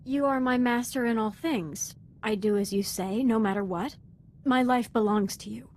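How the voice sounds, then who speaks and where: A woman's voice: on the high side, normal speed, darkish tone, slight background noise, lightly acted. A young woman acting as a devoted, fervent Sith apprentice in a video game, speaking in an earnest, deferential and articulate way. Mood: reverent, eager.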